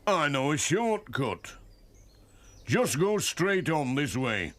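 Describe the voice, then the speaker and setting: A man's voice: a little high, very animated, takes it slowly, fairly bright tone, heavily acted. A man voicing an easygoing, confident young village workman with a reassuring, informal manner and an English accent. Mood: helpful. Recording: animal and vehicle noise behind.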